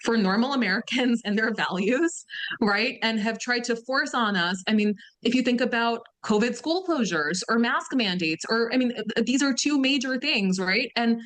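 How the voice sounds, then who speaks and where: A woman's voice: on the high side, animated, normal speed, a bit bright. Chatty female radio guest. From Somerville, Massachusetts. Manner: conversational, with many fillers and "right?" tags. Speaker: guest commentator. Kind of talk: talk radio interview.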